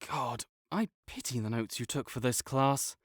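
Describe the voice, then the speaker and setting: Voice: mid-range voice, animated, a little slow, husky, no echo, studio quality. A young adult performer voicing a sarcastic, condescending academic rival with a slight tsundere streak, teasing throughout. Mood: smug. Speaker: audio roleplay performer. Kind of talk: scripted audio roleplay acting.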